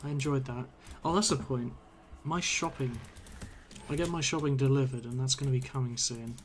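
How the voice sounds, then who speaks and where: A man's voice: medium pitch, fairly even intonation, moderate pace, faint music behind. A man streaming a video game, chatting casually and rambling with many fillers and trailing-off sentences, his voice a little strained from talking so long. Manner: hesitant, filler-heavy, trails off mid-sentence. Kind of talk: casual rambling chat while gaming. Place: home room at a computer.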